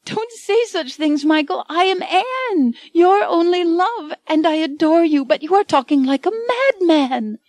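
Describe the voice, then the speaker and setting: A frightened young heroine, all adoration and panic, her voice pitched high and quivering with tears, as a woman reader puts her on. The sound is dry and close, with no room around it. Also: lively intonation, slow, pauses often, dark tone, no echo, exaggerated voice. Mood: pleading. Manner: high, tearful and pleading. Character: Anne, young romance heroine, frightened and adoring.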